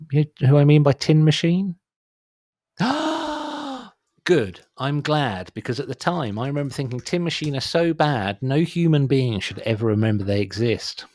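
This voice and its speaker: A man's voice: medium pitch, a little mellow, no echo, studio quality. A middle-aged man hosting a music podcast in casual chat, making wry, dismissive asides as he reads out a track list. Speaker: podcast host.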